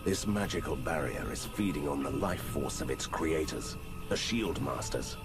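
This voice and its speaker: Gruff deadpan monster hunter, super deep voice, video game, loud music behind. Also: male voice, normal speed. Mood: dry, deadpan. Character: grim, dry-witted male monster hunter.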